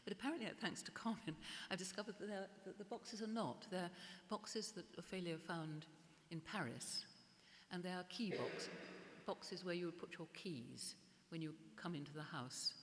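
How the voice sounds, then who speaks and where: An older woman art historian speaking at a public gallery conversation, halting and often restarting her words. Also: on the low side. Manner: hesitant, restarts and repeats words. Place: gallery event space before an audience. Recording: echoey hall, faint hiss.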